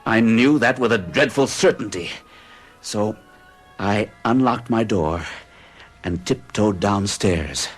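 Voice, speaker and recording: Haunted, husky, low-voiced frightened man. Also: faint music behind. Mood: haunted, hushed. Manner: intense, halting, dramatic narration. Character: haunted, frightened man confessing to his doctor. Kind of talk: old-time radio horror acting.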